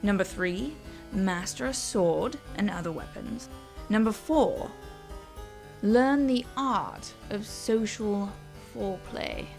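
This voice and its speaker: A woman voicing a spoiled, excitable young princess in an audio drama, with a demanding, impulsive manner. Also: mid-range voice, takes it slowly, gravelly, soft background music, pitched above the performer's own voice, exaggerated voice. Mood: eager scheming. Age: young adult.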